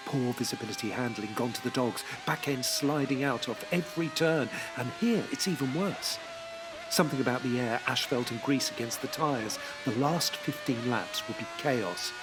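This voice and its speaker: A man's voice: mid-range voice, moderate pace, over music, low-quality recording. A man giving scripted dramatic narration in an intense, rhythmic way. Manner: intense, rhythmic, second-person at times.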